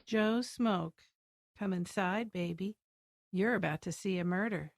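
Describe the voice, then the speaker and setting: An actress playing a sultry, boastful femme fatale villain in a noir radio drama, coy and taunting. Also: female voice, mid-range voice, measured pace, vocal fry, dry recording, studio quality. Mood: menacing, seductive.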